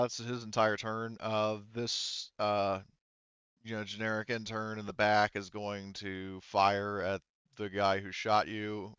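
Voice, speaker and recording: Male game master, low clean voice, casual and hesitant while working out rules, slightly muffled recording. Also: fairly bright tone, smooth, no room sound. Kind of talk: casual tabletop game narration and rules talk.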